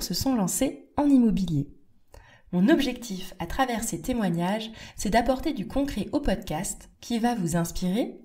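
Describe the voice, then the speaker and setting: A woman's voice: mid-range voice, warm tone. Woman podcast host, friendly and chatty. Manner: friendly, hesitant with fillers. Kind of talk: scripted intro then casual interview.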